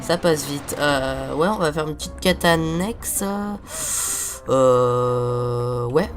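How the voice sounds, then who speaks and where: A man's voice: medium pitch, takes it slowly, soft background music. A teenage boy with a cold, hosting a casual let's-play and rambling on while swearing at the game. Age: teens. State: has a cold, stuffy. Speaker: gaming video host.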